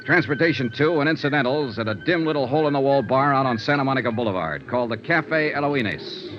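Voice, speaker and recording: An actor playing a wry, hard-boiled American investigator in a 1950s radio noir style, clipped and sardonic. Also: male voice, medium pitch, lively intonation, fairly quick, a little hiss or hum, lightly acted. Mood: wry narrating. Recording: dull vintage radio recording.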